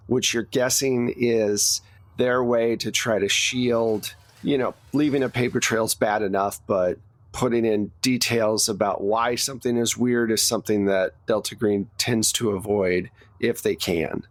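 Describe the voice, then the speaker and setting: A man's voice: fairly low pitch, steady tone, unhurried, dry recording. A man narrating a tabletop roleplaying game as game master in an informal, explanatory, conversational way.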